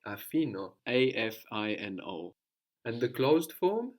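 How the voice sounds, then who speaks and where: A man's voice: mid-range voice, very animated, takes it slowly, a little mellow. A man teaching a language lesson, patiently prompting his student with questions and repeating the answers back.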